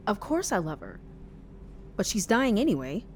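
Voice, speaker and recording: An actress playing a naive, earnest and oddly frank young person in an audio drama, guileless and matter-of-fact. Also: female voice, mid-range voice, big pitch swings, moderate pace, a little hiss or hum, lightly acted.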